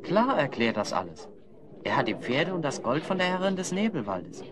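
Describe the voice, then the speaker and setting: Lively, bold fantasy hero. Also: male voice, on the high side, moderate pace, crowd noise. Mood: curious, resolute. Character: bold, decisive red-maned male fantasy hero.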